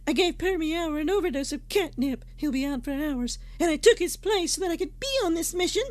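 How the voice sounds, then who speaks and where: Man doing a high-pitched melodramatic heroine. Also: a little fast, no echo. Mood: hurt, determined. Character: lovestruck, indignant young woman heroine.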